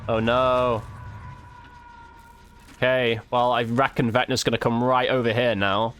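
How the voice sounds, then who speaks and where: A man's voice: medium pitch, flat delivery, measured pace, fairly bright tone, a little hiss or hum. A man giving casual, informal gameplay commentary as a video host, with slang and mild swearing.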